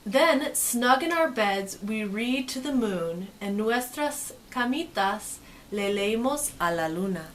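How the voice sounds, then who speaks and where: A woman presenting a bilingual picture-book read-aloud with casual commentary, expressive when reading and softer when commenting. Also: a little high, slow, slight background noise.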